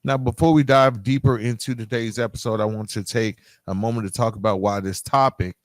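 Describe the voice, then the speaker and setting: A middle-aged man speaks as a solo podcast host in a dry, close-sounding space. His voice sits at an average pitch, and he talks reflectively and conversationally to his listeners, leaning on key words for emphasis. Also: steady tone, a little fast, no echo. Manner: conversational, emphatic, often checks in with "right?".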